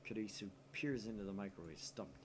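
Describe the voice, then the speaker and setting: A man's voice: fairly low pitch, a little fast, noisy background. A man reading first-person visual novel narration aloud as a storyteller. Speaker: gaming channel reader and narrator.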